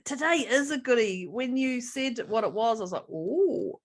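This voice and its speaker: A woman's voice: a little high, lively intonation, moderate pace. A woman with a New Zealand accent co-hosting a podcast in casual chat, bubbly and laughing often. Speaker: podcast co-host.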